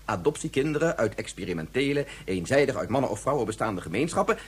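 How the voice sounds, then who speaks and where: An actor playing an earnest scientist in a science fiction radio drama, arguing in a formal, deliberate way. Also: male voice, medium pitch, sing-song, breathy edge. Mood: insistent, explaining. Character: earnest male scientist and father, insistent, methodical.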